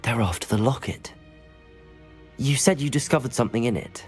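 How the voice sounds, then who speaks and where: An actor playing a polite, inquisitive young wizard student in a video game, speaking earnestly and in a measured way. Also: male voice, medium pitch, soft background music, natural voice. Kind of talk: cinematic video game acting.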